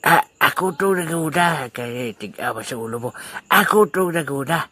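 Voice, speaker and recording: A man's voice: fairly high pitch, expressive, normal speed, sharp tone, husky, dry recording, full character voice. A man voicing a good-natured old grandfather, joking and laughing with his friend and muttering asides to himself in an amateur audio drama. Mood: jovial. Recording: noisy amateur recording.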